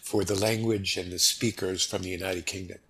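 A middle-aged American man with a low, husky voice talks as a podcast guest. His manner is easygoing and chatty, and he gives relaxed, friendly advice. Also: normal speed. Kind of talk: casual interview chat.

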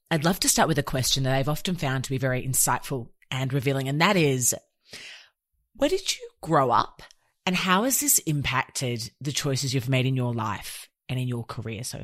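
Young host, upbeat and effusive, medium pitch, dry studio sound. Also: a bit bright, no echo. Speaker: podcast host. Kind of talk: scripted intro then interview questions.

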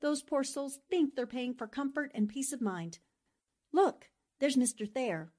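A woman plays a sensible newspaperwoman in an old-time radio drama. Her voice is fairly high and husky, speaking in low-key, confiding asides. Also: animated, measured pace, a little mellow. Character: sensible, hushing woman reporter, the steadier partner. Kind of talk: 1940s radio drama acting.

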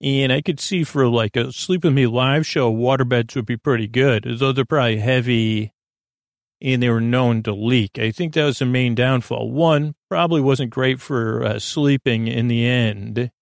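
Creaky-voiced man rambling through a bedtime monologue. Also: medium pitch, dry recording, studio quality. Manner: halting, circling, self-interrupting delivery with false starts. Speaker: host of a sleep podcast.

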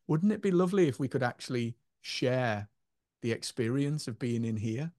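A man's voice: mid-range voice, animated, moderate pace, darkish tone, no room sound, clean studio sound. A man co-hosting a podcast, talking in an earnest, musing way about spirituality and feelings. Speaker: podcast co-host. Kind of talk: casual spiritual chat.

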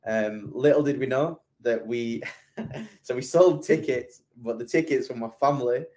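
A man's voice: mid-range voice, unhurried, warm tone. A man, a podcast guest chatting casually and rambling with plenty of fillers and restarts. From England. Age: 20s. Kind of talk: casual interview chat.

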